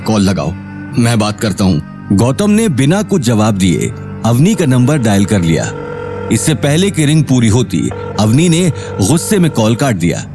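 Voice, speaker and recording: एक आदमी ऑडियो कहानी को नाटकीय अंदाज़ में, लिखी हुई पटकथा से सुना रहा है।. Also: male voice, mid-range voice, wildly swooping pitch, normal speed, over music. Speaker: narrator. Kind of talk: dramatic scripted story narration.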